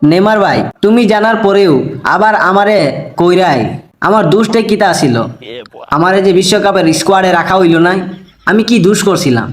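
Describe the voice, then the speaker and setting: A man's voice: sits high, brisk, reverberant, lightly acted. A man voicing an aggrieved adult male cartoon footballer who complains in a plaintive way, speaking Bengali with a Sylheti accent. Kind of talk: cartoon voice acting.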